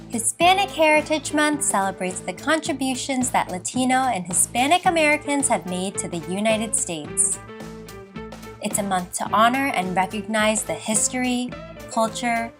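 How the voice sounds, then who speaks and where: A woman giving a scripted informational voice-over in a lively, even-paced way. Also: on the high side.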